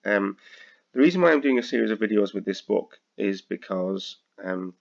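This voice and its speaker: A British man with a slightly croaky voice, tutoring accounting students through a software lesson, hesitant with many ums and restarts. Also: fairly low pitch, animated, normal speed, lo-fi sound. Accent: British English. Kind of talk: screen-recorded software tutorial.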